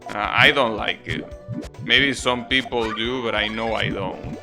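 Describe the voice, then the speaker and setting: A man's voice: lowish voice, measured pace. A man doing casual live game commentary, chatting with viewers in an opinionated, grumbling way with mild swearing. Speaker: game streamer. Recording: game music playing behind the voice. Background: video game music.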